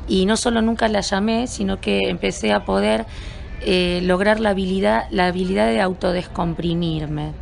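A woman from Argentina answering interview questions at an event, speaking in a measured, self-observing and wordy way. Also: medium pitch, fairly even intonation, gravelly. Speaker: event attendee being interviewed.

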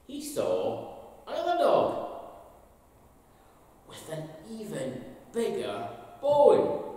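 An older man, a minister, gives an expressive, slowly told all-age talk from the pulpit of a large church sanctuary. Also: mid-range voice. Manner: storytelling, slow and expressive. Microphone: far camera, pulpit mic. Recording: echoey church hall from a distance.

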